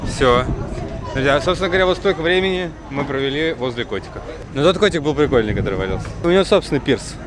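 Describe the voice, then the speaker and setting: A man's voice: a little high, expressive, quick pace, crowd noise. Young male travel vlogger, offhand joking delivery, windy outdoor camera audio with boat noise behind. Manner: joking, loose slangy commentary. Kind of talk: casual travel vlog chat. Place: outdoors on a windy waterfront and pier.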